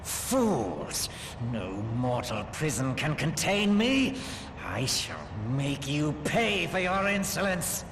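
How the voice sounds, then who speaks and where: An arrogant dark sorcerer from a fantasy game, speaking slowly with big theatrical swoops of pitch. He is menacing and vengeful, over clearly noisy game ambience. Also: huge pitch swings, exaggerated voice. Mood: furious. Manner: grandiose, deliberate, taunting. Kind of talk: dramatic fantasy video game acting.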